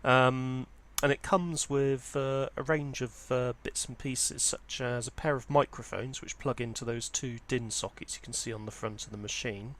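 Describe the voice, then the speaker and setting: A man's voice: medium pitch, steady tone, moderate pace, hardly any pauses, fairly bright tone. Man giving an informal hobbyist walkthrough. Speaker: presenter of a vintage audio hobby channel. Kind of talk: informal product walkthrough, unscripted.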